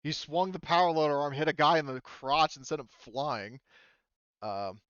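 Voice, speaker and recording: A man's voice: a little high, lively intonation, moderate pace, fairly bright tone, clear, resonant tone, no echo, lo-fi sound. A man chatting casually as the game master of a tabletop roleplaying session.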